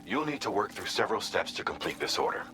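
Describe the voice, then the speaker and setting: A man playing a calm, methodical mission coordinator in a video game, giving formal, measured, precise instructions. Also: on the low side, echoey room, over music. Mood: measured, businesslike. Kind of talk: scripted game mission briefing.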